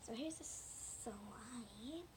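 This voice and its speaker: A woman chatting casually and haltingly through a hands-on demonstration for viewers, with a hoarse, breathy voice that keeps thinning into a whisper. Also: on the high side. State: hoarse, losing her voice. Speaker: slime video maker talking to viewers. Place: at home indoors. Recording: noisy amateur home recording.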